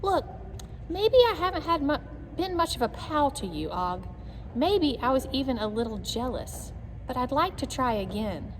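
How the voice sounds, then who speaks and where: A woman voicing a small, earnest, easily huffy male hamster in a children's storybook, squeaky and emphatic. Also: sing-song, normal speed. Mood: earnest, hesitant. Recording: echoey room, noisy amateur recording.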